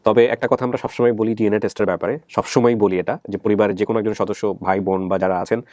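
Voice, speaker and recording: A man's voice: lowish voice, fairly even intonation, very fast, darkish tone, dry recording, studio quality. A man with a Bangladeshi accent speaking as a show host, earnestly explaining things to a guest and mixing in English words. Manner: mixes English words into speech. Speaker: host of a family reunion show.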